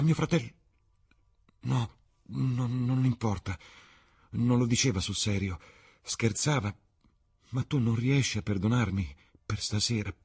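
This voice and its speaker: A man's voice: medium pitch, husky, voice pushed up. A man voicing a desperate, tender young actress who pleads in a breathless, imploring murmur.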